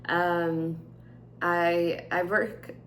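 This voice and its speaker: A young woman with a raspy, tired voice, chatting casually to her viewers and then reading her journal entry aloud. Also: on the low side, level tone, extremely slow, roomy sound, a little hiss or hum. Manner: chatty with frequent fillers, gentle and candid. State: tired, voice strained. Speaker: vlogger. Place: indoors at home, at a table. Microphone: camera on tripod.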